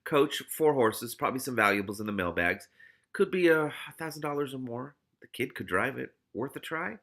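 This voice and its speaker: A man's voice: mid-range voice, expressive, normal speed, a bit bright. A man reading aloud as a scheming, streetwise male cat, cocky and glib. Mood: sly, confident. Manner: wheedling, bossy, glib.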